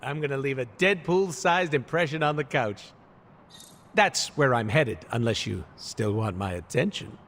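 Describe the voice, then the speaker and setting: An actor playing a cocky, irreverent mercenary antihero in a video game, with a jokey, sarcastic, theatrical delivery. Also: male voice, mid-range voice, moderate pace, a bit bright, slight background noise, close to an everyday voice. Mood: cocky, joking. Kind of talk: video game cutscene acting.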